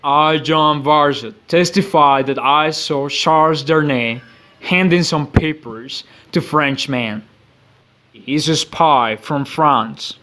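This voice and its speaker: A man playing an earnest, formal gentleman in a scripted amateur dramatization of a classic novel. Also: on the high side, slow pace, a little hiss or hum. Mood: formal, deliberate. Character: earnest gentleman bearing startling news, formal.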